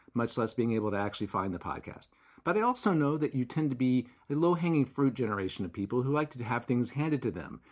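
Hoarse man hosting a podcast. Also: mid-range voice. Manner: rambling, self-deprecating, wry. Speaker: podcast host. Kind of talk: casual conversational interview.

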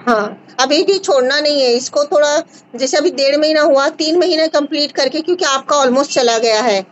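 Woman, high voice, reassuring host, video call from home, lo-fi sound. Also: normal speed, a little hiss or hum. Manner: reassuring, repeats short checks like "है ना?". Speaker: host advising a caller on a skin remedy. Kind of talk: live video call, casual advice. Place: indoor home room with curtains. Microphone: phone front camera on a video call.

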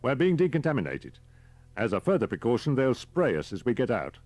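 A man voicing a brisk, deferential government driver, chatty and matter-of-fact, as audiobook dialogue. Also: medium pitch, sing-song, darkish tone, no echo, pitched above the performer's own voice. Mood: brisk explaining.

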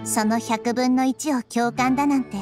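Child-like deity played by a woman, anime game: high-pitched, gentle, thoughtful, measured delivery, music behind. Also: fairly even intonation, close to an everyday voice. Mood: thoughtful, earnest. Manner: measured, soft-spoken, reflective. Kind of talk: Japanese anime game voice acting.

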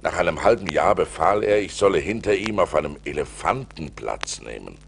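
An actor playing a seafarer who recounts perilous adventures theatrically and deliberately, in an audio play transferred from vinyl. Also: male voice, deep voice, lively intonation, gravelly, a little hiss or hum. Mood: measured, recounting. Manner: theatrical, deliberate, swelling with suspense. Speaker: narrator. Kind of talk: dramatic storytelling in an audio play.

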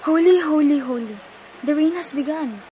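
Little orphan girl, acted by a woman: extremely high voice, frightened, pleading, faint noise on the recording. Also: animated, normal speed, dark tone. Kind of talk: amateur radio play reading. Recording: noisy amateur home recording.

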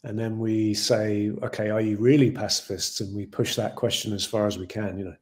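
A man's voice: on the low side, level tone, brisk. A man in his forties with an English accent, a film director answering questions on a video call in a thoughtful, rambling way. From Ireland. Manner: thoughtful, rambling with many "you know" fillers. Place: home room with shelves.

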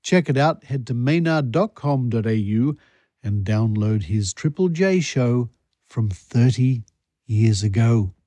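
A man's voice: mid-range voice, expressive, slow, dark tone, no echo. A man hosting a podcast, giving a conversational scripted introduction with playful, wry asides. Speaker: podcast host.

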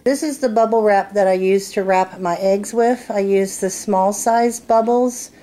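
A woman's voice: mid-range voice, measured pace, dark tone. A middle-aged woman giving a casual, plain-spoken step-by-step demonstration at a table indoors. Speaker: farmer giving a how-to demonstration. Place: indoors at a wooden table in a home.

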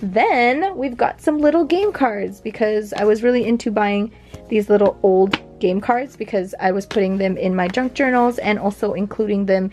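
A woman's voice: medium pitch, moderate pace, darkish tone, soft background music. A young woman chatting casually as she shows off old paper collectibles, rambling with fillers and little laughs. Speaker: vintage collection vlogger. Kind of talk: casual show-and-tell narration. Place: home near a big airport.

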